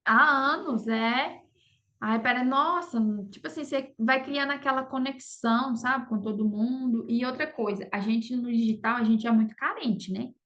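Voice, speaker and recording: High-voiced Brazilian woman, dull recording. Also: normal speed, sharp tone. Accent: Brazilian Portuguese. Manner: conversational, frequent tag questions seeking agreement. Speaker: podcast guest, affiliate marketer. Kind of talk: casual interview storytelling. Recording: dull lo-fi audio.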